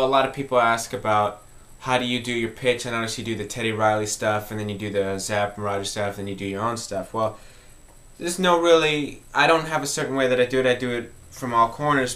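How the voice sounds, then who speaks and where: A man's voice: medium pitch, fairly even intonation, brisk, pushed and bright. A man talking informally as he hosts an instructional music tutorial.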